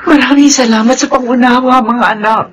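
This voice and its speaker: A woman's voice: high voice, fairly even intonation, brisk, a little hiss or hum, lightly acted. A woman playing an emotional single mother of grown children in a radio drama, with a Filipino accent. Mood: touched, grateful.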